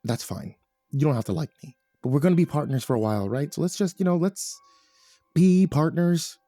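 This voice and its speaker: A man's voice: fairly high pitch, fairly quick, warm tone, no echo, faint music behind, pitched above the performer's own voice, close to an everyday voice. A man voicing a timid, eager-to-please male worker in an audiobook, hesitant and pleading. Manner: pleading, stammering, chirpy. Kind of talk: audiobook character voice.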